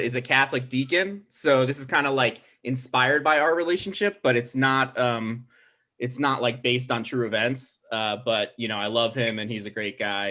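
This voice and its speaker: A man's voice: mid-range voice, sharp tone. A man casually introducing a table read as its writer and host, hesitant and informal.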